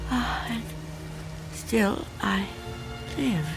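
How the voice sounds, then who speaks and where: An actress playing a frightened, reclusive older woman in a radio drama, speaking haltingly and fearfully. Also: fairly high pitch, creaky, music in the background, heavily acted. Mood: fearful, wary. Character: frightened, reclusive old woman clinging to her home.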